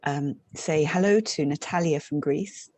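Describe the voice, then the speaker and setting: A woman's voice: sits low, unhurried, darkish tone, dry recording. A woman hosting an interview, introducing and welcoming her guest. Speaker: interview host.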